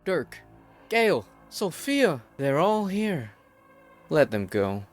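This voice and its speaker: A man's voice: a little high, sing-song, takes it slowly, faint music behind. A man playing a bitter, defiant outcast worker in a game fan dub, blunt and sarcastic in his speech. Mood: shocked.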